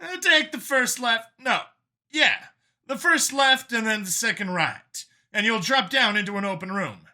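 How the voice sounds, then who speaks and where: A man's voice: extremely high pitch, a little slow, pushed and bright, pitched above the performer's own voice, exaggerated voice. A man voicing an apologetic, unsure male guide in an audiobook, hesitantly giving directions to his team. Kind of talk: audiobook character voice.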